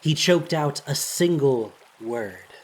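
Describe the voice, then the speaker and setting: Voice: medium pitch, expressive, slow pace, slightly rough. A narrator reading a scripted, dramatic story for an audio drama.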